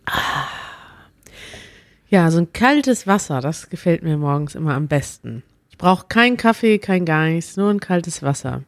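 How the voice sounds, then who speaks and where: A woman's voice: lowish voice, expressive, unhurried, dry recording. Chatty woman on a dry mic. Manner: chatty, laughs often. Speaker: podcast co-host. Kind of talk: casual chat.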